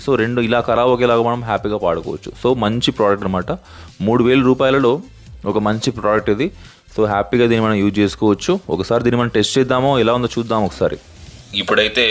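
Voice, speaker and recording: A man narrates a casual unboxing and demo as the host, explaining in a repetitive way and mixing in English terms. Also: fairly low pitch. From India. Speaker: product review host.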